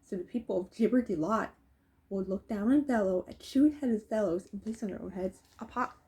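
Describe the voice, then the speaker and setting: A woman with a soft, mellow tone and a middle-pitched voice reads a children's storybook aloud. She delivers it as rhyming narration and slips into casual, chatty asides. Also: normal speed, poor audio. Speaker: storybook reader.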